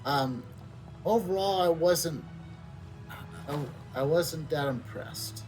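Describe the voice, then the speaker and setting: Slow, low-key man commentating gameplay. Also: a little mellow, rough recording. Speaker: video game streamer. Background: game music.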